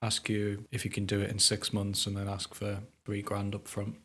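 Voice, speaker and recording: A man with a low, husky voice co-hosts a casual podcast chat. He explains things calmly and drops in dry jokes. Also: normal speed. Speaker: podcast co-host. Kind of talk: casual chat while tasting hot sauce.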